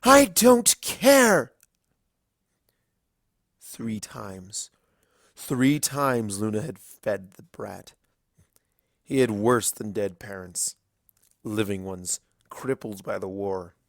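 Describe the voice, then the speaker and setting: A male narrator with a fairly low voice reads a dramatic story. He goes slowly and gravely, pausing for weight, in a dry recording with little room sound. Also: no room sound. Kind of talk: dramatic scripted fiction narration.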